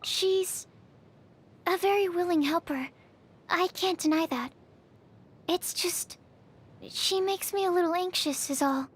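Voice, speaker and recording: A woman's voice: extremely high pitch, measured pace, long pauses. A woman voicing a shy, anxious, earnest young alchemist in a video game, with a timid, hesitant, bookish manner. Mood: shy, mildly anxious. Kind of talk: video game character voice lines. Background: music.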